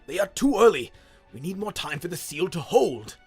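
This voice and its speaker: A man's voice: sits high, big pitch swings, normal speed, slightly rough, faint music behind, full character voice. A man voicing an old inventor father who is anxious, weary and loving, muttering and pleading urgently.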